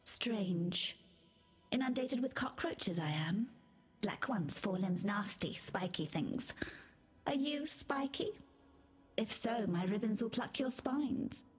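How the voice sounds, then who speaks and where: An actress playing a mad, weepy, fragile queen in a video game, speaking in slow, disjointed riddles. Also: mid-range voice, animated, slightly rough, full character voice. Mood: eerie, curious. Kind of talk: video game character dialogue. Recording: music behind the voice.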